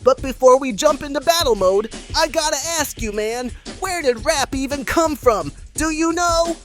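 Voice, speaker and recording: A performer voicing a hammy, excitable male puppet host who jokes and makes showman introductions in a scripted puppet comedy. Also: sits high, faint music behind. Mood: playful, hyped. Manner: hammy, deliberate showman delivery.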